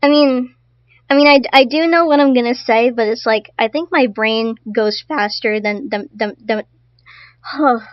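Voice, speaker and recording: A woman's voice: fairly high pitch, unhurried, no room sound, lo-fi sound. A woman streaming casually to her chat, stuttering often and repeating her words. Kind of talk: casual live-stream commentary.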